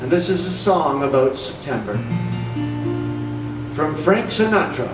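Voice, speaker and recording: A male singer introduces songs live in an echoey room, picked up from a distance. His voice sits fairly high, with loud music behind it. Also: big pitch swings, a little slow. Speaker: singer performing for seniors. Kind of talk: live stage patter between songs.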